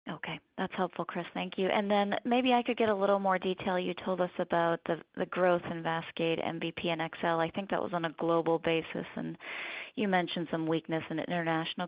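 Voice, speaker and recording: A woman's voice: lowish voice, no echo. A woman working as a financial analyst, putting questions to executives on an earnings call. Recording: dull, dry call line.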